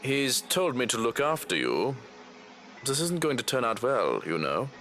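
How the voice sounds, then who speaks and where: A man's voice: medium pitch, big pitch swings, a little slow, a bit bright, a little hiss or hum. An actor playing a surly, ominous servant in a visual novel, coolly insolent in his scripted warnings.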